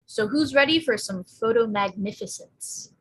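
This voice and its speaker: A woman playing a wry, quick-witted teenage girl in a table read, with a deadpan, sarcastic delivery. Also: fairly high pitch, breathy edge, no echo, rough recording. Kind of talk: live table read acting.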